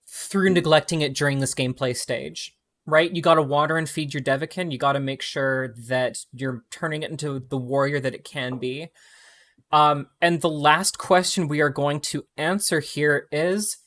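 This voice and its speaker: A man's voice: fairly high pitch, a bit bright. A young man from Canada with a Canadian accent, hosting a casual livestream Q&A from home over a video call in an upbeat, chatty way. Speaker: host reading community questions. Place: home room with gaming chair.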